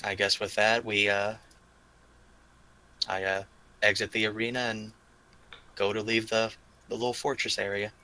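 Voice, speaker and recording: A man's voice: fairly low pitch, flat delivery, normal speed, long pauses, bright, forceful tone. A man playing a tabletop game, chatting casually and thinking aloud as he goes.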